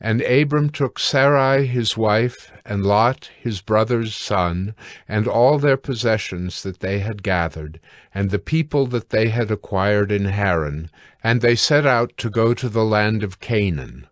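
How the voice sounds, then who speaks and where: A man narrating scripture from a script in a measured, formal reading manner. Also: on the low side, animated, no echo, studio quality.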